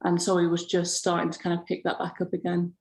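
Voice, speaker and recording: A woman, telling stories in a relaxed, rambling way as a podcast guest. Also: on the low side, fast talker. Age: 30s. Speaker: podcast guest, grower and florist. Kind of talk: casual interview chat.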